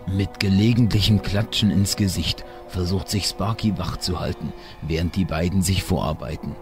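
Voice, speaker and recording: A man with a deep voice narrates a fantasy audio drama from a script. Music plays clearly behind him. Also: steady tone, normal speed.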